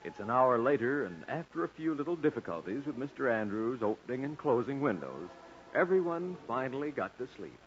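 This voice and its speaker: Animated 1940s American radio announcer man. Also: medium pitch, brisk. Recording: scratchy, muffled 1940s radio recording.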